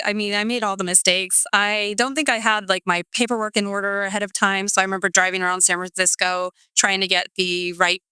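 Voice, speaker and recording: A chatty woman in her forties from California tells her story with a bright, very clear voice. She rambles, piling up "um" and "like," and the close recording is dry. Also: medium pitch, dry recording. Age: 40s. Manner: chatty, rambling, many fillers like um and like. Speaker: guest. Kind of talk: casual interview storytelling.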